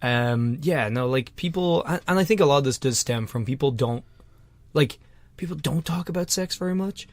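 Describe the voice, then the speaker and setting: A man's voice: on the high side, normal speed, dry recording. A man co-hosting a podcast in casual chat, laid-back, swearing casually and chiming in often. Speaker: podcast co-host.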